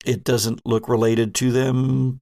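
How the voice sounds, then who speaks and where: A man narrating a tabletop role-playing game as game master, in a measured, descriptive way. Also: mid-range voice, level tone, warm tone.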